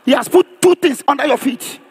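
High-pitched, emphatic male preacher. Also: a little fast. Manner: emphatic, declamatory, often shouting; repeats phrases for emphasis. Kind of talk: charismatic sermon with call and response.

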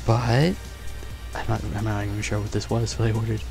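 Upbeat young man with gravelly voice. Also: on the low side, a little fast, over music. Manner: upbeat, chatty, excited exclamations. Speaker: card collecting vlogger. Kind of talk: casual unboxing chat. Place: bedroom studio, indoors. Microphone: headset.

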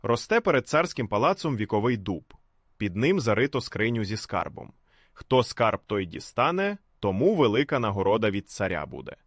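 A man's voice: fairly low pitch, sing-song, normal speed, no echo, studio quality. A man voicing a young captive Ukrainian woman, hospitable and curious, in a folk tale. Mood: confiding.

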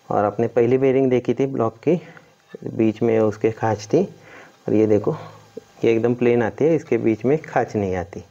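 A man's voice: lowish voice, gravelly, warm tone. A man giving hands-on instructional commentary as a mechanic, explaining and pointing things out step by step. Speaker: car mechanic presenting a tutorial. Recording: dull lo-fi sound.

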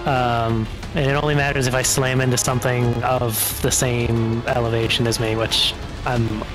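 Guy with a medium-pitched voice chatting through game moves and rules, music clearly playing behind. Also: level tone, moderate pace, poor audio. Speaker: tabletop game player. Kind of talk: casual rules talk during a game.

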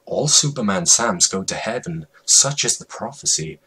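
Husky deep-voiced man, theatrical dramatic reader. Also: animated, normal speed, low-quality recording. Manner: theatrical, mock-serious, swinging from hushed to shouted. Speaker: reader of a comic fan story. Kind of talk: dramatic reading of absurd fan fiction.

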